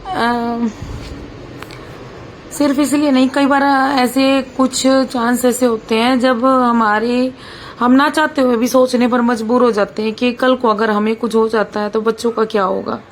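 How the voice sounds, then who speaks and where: A woman in her thirties with a hoarse, sore voice, chatting casually through a vlog as she cooks. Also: a little high, fairly even intonation, measured pace, a little hiss or hum. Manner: chatty, keeps saying "bhai", talks while cooking. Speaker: vlogger.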